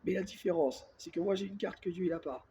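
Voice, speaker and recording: A man with a head cold talks softly and at length into his phone held close. He rambles through a casual monologue and keeps circling back with filler words. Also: high-pitched, quick pace, gravelly, a little hiss or hum. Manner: speaks softly on purpose. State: has a cold, congested and coughing. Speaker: self-filmed vlogger.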